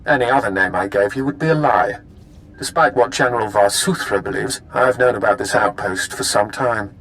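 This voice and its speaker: Imperial spy villain, measured, formal, smug, video game dialogue, faint rumble behind. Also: male voice, fairly bright tone, low-quality recording. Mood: cool, formal. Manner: measured, formal, smugly confident. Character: cool, calculating male imperial intelligence agent.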